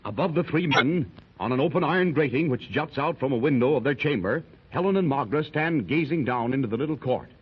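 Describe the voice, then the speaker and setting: A man's voice: mid-range voice, animated, fairly quick, slightly rough. A man narrating an adventure radio serial in a declamatory, dramatic style. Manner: declamatory, rising with the action. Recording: hissy muffled 1930s radio transcription.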